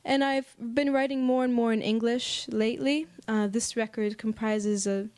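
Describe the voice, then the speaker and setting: Higher-pitched American woman, thoughtful. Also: steady tone, normal speed, darkish tone. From United States. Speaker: singer-songwriter being interviewed. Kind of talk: casual interview. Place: apartment.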